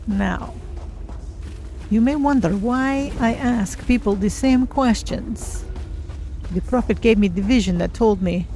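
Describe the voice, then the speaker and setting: Woman playing a measured, mellow cat-folk adventurer. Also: on the high side. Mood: thoughtful. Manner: measured, formal, speaks of self in third person. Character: curious, cautious female cat-folk Khajiit adventurer. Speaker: streamer roleplaying a character. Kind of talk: in-character game roleplay narration.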